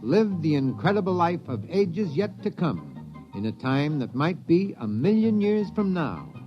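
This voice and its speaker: A man gives a dramatic, inviting promo as a 1950s radio host. His voice is fairly high and lively. The dull old broadcast has loud music under it. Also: measured pace.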